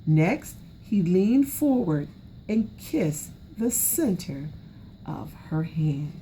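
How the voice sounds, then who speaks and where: A woman's voice: fairly low pitch, sing-song, very slow, warm tone, slight background noise. A woman narrating a children's storybook aloud expressively, as an educator would.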